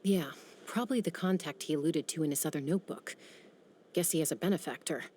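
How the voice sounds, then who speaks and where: A woman playing a practical young female adventurer who speaks in a calm, matter-of-fact way. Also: low-pitched, fast talker, noisy background, natural voice. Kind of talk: video game dialogue.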